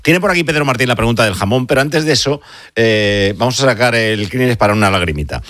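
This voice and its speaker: A man's voice: mid-range voice, expressive, fast, fairly bright tone, dry recording, studio quality. A man hosting a live radio sports show, talking conversationally and asking friendly questions.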